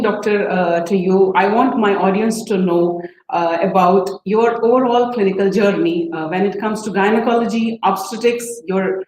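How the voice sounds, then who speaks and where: A woman doctor hosts a live medical interview at a mid pitch. She asks her questions with fillers and repeated phrases. The room sounds echoey, with a faint hiss underneath. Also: a little mellow. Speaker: host and general practitioner.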